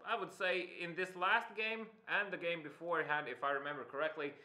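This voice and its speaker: A man's voice: fairly high pitch, steady tone, fairly quick, pushed and bright, roomy sound. A man casting an esports broadcast, upbeat and chatty as he runs through the standings. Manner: upbeat, wraps up with cheerful sign-offs. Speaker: esports caster. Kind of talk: live esports commentary.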